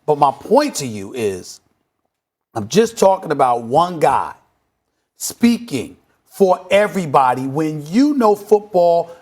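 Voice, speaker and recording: A man's voice: on the high side, very animated, slow. Emphatic man hosting a sports debate. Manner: emphatic, forceful arguing. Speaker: host of a sports debate show.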